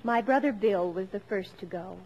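An actress playing a wry grown daughter narrating family memories in a 1950s American radio drama, deliberate and storylike. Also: female voice, mid-range voice, darkish tone, highs cut off, slight background noise, lightly acted.

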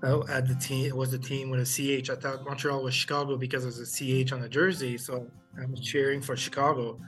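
Middle-aged man recalling, halting delivery. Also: medium pitch, level tone, a bit bright, faint music behind. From Haiti, raised in Quebec. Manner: halting, many fillers and restarts. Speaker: interviewee, former hockey player. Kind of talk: interview storytelling.

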